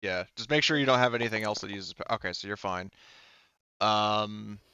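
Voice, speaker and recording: Guy game mastering, lowish clean voice, super casual, dry muffled mic. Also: moderate pace, sharp tone, smooth, no echo. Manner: informal, occasionally swearing. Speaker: game master of a tabletop RPG. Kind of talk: casual game-running chat over rules and dice.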